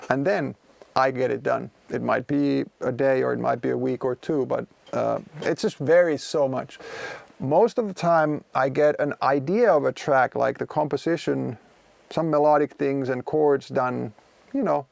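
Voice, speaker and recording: Finnish-accented man, non-native English, relaxed livestream chat, rough fry-heavy voice, dead dry room. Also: mid-range voice, moderate pace, no room sound. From Finland. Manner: rambling, conversational, many fillers and restarts. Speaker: music producer streaming from his studio. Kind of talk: casual livestream chat, answering viewers. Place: home music studio.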